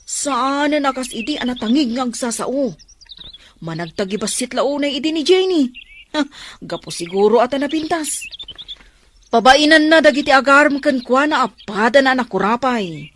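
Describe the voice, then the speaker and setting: A woman's voice: high voice, lively intonation, normal speed, fairly bright tone, a little hiss or hum. A woman playing a frank, concerned friend in an audio drama, chatty and reproachful. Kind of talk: Ilocano audio drama dialogue.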